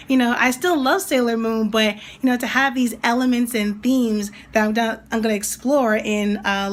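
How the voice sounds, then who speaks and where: A young woman with a slightly raspy voice, still getting over a cold, chatting enthusiastically and rambling as she reviews an anime. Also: a little high. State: recovering from a cold. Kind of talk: casual video review.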